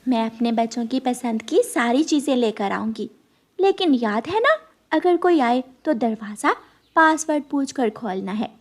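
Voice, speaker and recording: A woman voicing a caring, instructive mother bird in an animated moral story, with a motherly manner. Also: on the high side, a little slow.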